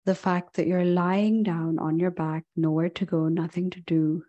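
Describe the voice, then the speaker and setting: Slow, mellow woman guiding meditation. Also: on the low side, lo-fi sound. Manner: calm, gentle, unhurried with long pauses. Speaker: yoga and meditation instructor. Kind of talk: guided meditation.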